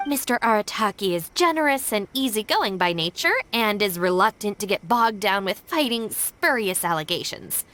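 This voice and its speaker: A woman playing a composed, stubborn young gang deputy in a video game, speaking in formal, measured phrases. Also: mid-range voice, animated, a bit bright, slight background noise, lightly acted. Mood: diplomatic. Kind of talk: scripted video game voice acting.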